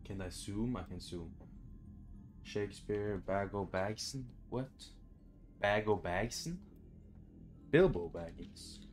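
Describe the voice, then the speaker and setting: A man's voice: lowish voice, slow, pauses often, a little mellow, soft background music. A young man doing casual horror game commentary, muttering to himself in short phrases. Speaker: gamer, let's play host. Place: small room at home.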